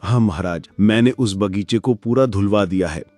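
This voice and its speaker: A man's voice: fairly low pitch, normal speed, darkish tone, no echo, soft background music, lightly acted. A man voicing a loyal elephant chief minister who serves the king, in a Hindi cartoon. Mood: dutiful. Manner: dutiful, deferential to the king.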